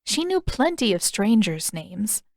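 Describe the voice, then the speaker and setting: A woman's voice: medium pitch, big pitch swings, measured pace, darkish tone, no echo, clean studio sound. A woman reading scripted fiction as an audiobook narrator.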